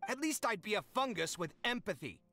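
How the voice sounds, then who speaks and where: A man's voice: high-pitched, big pitch swings, fairly quick, sharp tone, faint music behind, heavily acted. A man playing a dramatic, touchy but caring young housemate in a video game, theatrical and sarcastic in delivery.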